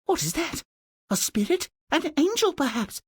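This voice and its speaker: A man voicing a timid, desolate maiden in a fairy-tale video game, breathy and plaintive. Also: very high-pitched, sing-song, normal speed, no echo, studio quality.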